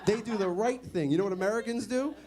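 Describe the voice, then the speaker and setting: A man's voice: high voice, fast, noisy background. Sardonic man working a comedy crowd. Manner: conversational, sardonic, quizzes the audience. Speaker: stand-up comedian. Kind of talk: stand-up comedy set. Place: comedy club stage.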